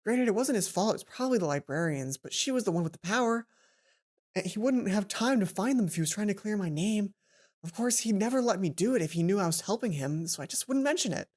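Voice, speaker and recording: A woman hosting a let's play, reading a visual novel aloud and adding chatty, informal, animated asides. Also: medium pitch, fast. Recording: music behind the voice. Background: game music.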